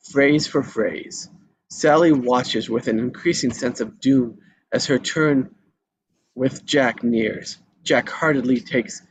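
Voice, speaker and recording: A man's voice: mid-range voice, poor audio. Man reading screenplay directions, faint noise. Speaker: stage-directions reader. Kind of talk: scripted narration at a live table read.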